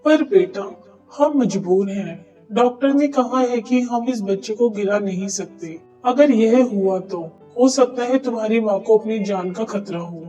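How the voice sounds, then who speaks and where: Woman doing a mellow, earnest family man. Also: medium pitch, unhurried, far from the mic, dull audio, soft background music, lightly acted. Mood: ashamed. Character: embarrassed family man of the household. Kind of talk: cartoon story dialogue.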